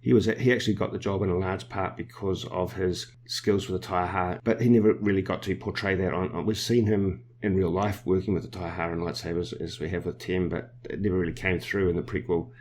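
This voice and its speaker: A New Zealand man hosting a podcast, explaining film news in a measured way with small restarts. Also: low voice, steady tone, a little mellow, a little hiss or hum. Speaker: podcast host. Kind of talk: casual podcast discussion.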